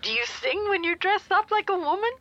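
Bright, expressive older mother. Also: very high voice, fairly quick, dry recording, muffled recording, exaggerated voice. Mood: chatty, admiring. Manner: earnest, slightly flustered, expressive. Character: anxious, earnest older mother, a phone customer. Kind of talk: naturalistic audio drama phone dialogue.

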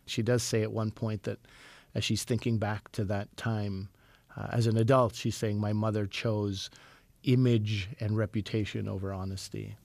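A man hosting a radio book interview, asking steady, measured questions and backing them up with brief affirmations. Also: on the low side, creaky. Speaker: radio host.

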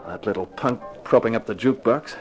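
Male actor as 1950s radio gumshoe: low register, terse, cool, wry slang, music underneath. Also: a little fast, lightly acted. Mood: cool, defiant. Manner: terse, wry, slangy tough-guy talk. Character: hardboiled male private eye, cool, wisecracking, unafraid. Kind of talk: 1950s radio detective drama acting and narration.

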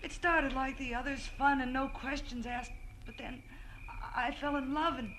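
A woman's voice: high-pitched, fairly even intonation, moderate pace, lightly acted. A woman playing a frightened, pleading young society woman in a 1940s radio crime drama, earnest and breathless. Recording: noisy vintage radio recording.

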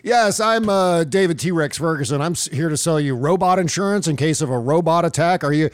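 A man's voice: on the high side, fairly quick, smooth, no echo. Irreverent American man, close dry sound. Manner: irreverent, joking. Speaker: podcast co-host. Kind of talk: casual political talk with clips and quoted articles.

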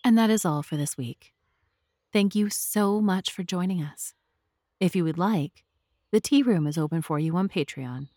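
A woman's voice: on the low side, normal speed, dark tone, no room sound. A woman narrating and hosting a story podcast in a scripted style. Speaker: narrator and host.

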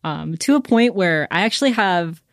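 A woman co-hosting a podcast, chatting casually about a book with lots of fillers and lively retellings. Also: fairly low pitch, moderate pace, no room sound, studio quality. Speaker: podcast co-host.

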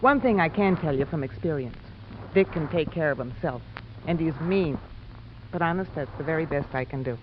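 A woman's voice: low-pitched, lively intonation, moderate pace. A woman playing a brassy, blunt estranged wife in a 1950s radio drama, with an offhand manner. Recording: dull, noisy vintage radio recording.

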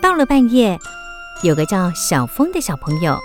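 A woman's voice: mid-range voice, very animated, moderate pace, soft background music. A woman with a Taiwanese Mandarin accent, narrating a scripted story for children.

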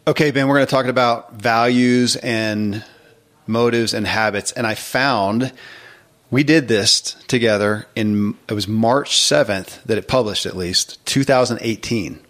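A man's voice: medium pitch, measured pace, fairly bright tone. A man hosting a podcast, talking in an earnest, explanatory way. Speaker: podcast host. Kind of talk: podcast intro and interview talk.

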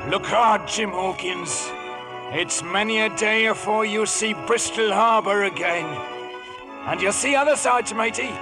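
An actor playing a sly, hearty old one-legged in a 1950s radio drama, with a gravelly voice and rough seafaring talk. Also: male voice, high voice, unhurried. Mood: ominous. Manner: rough, folksy nautical talk. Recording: vintage radio broadcast with music.

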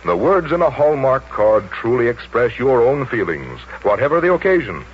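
A man's voice: medium pitch, very animated, normal speed, husky. An American man working as a radio announcer, reading a script in a polished, sincere 1940s style. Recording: dull, noisy 1940s radio recording.

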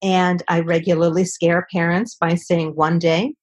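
A woman speech and language specialist, speaking as an interview guest and explaining with calm reassurance. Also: fairly low pitch, measured pace. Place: Southern California.

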